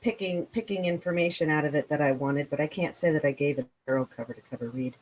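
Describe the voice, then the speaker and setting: A woman with a low voice talks casually as a guest author. She feels her way through sentences deliberately, with a halting delivery. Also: darkish tone, rough recording. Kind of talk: casual group chat.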